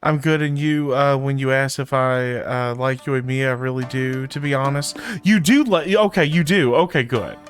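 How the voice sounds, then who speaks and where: A man's voice: mid-range voice, fairly even intonation, moderate pace, few pauses, clean tone, faint music behind. A man streaming a video game, chatting casually and informally, often repeating his phrases. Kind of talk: casual livestream commentary and chat.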